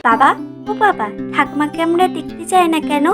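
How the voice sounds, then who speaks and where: Woman acting high-pitched pleading little girl. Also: animated, normal speed. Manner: pleading, insistent. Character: pleading, affectionate young granddaughter. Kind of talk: dramatic cartoon story dialogue. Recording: music behind the voice.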